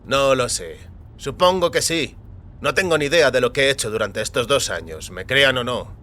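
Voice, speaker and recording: A man's voice: mid-range voice, animated, normal speed, sharp tone. A man playing a bewildered, defensive detainee in audio fiction, giving short, guarded answers. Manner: short, evasive-sounding answers. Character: bewildered, defensive male technician detained for questioning.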